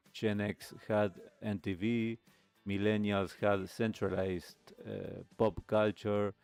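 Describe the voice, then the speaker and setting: A man hosting a talk show, delivering a reflective monologue in measured, deliberate phrases with pauses. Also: fairly low pitch, creaky, a little mellow, clear, resonant tone, dry recording. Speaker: radio show host.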